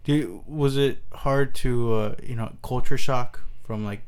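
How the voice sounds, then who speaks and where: A man hosts a relaxed, conversational interview. He feels his way into questions haltingly, often stammering and restarting, in a casual register. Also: mid-range voice, clear, resonant tone. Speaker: interviewer, host.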